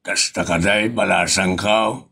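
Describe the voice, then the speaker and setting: A man's voice: low voice, steady tone, a little slow, creaky, bright, forceful tone, breathy edge, full character voice. A man with an Ilocano accent playing a weary, grumbling husband and father in a comedy audio drama. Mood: resigned.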